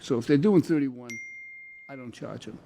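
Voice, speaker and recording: A man's voice: mid-range voice, normal speed, vocal fry, mellow. An older man speaking as a judge in a courtroom, questioning people in an informal, teasing way and repeating their answers back. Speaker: judge presiding in a municipal court show.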